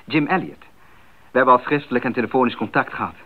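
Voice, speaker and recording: An actor playing a determined, curious young male missionary in a 1980s radio drama, with earnest, clear phrasing. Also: mid-range voice, fast talker, natural voice. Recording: narrow-band 1980s radio recording, faint noise.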